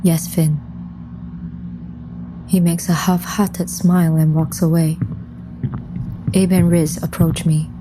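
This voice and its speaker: A woman's voice: on the low side, flat delivery, slow, long pauses, noisy background. A woman playing a composed, serious undead mission leader who narrates her own story in an audio drama. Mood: grave, composed. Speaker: lead character and first-person narrator. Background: music.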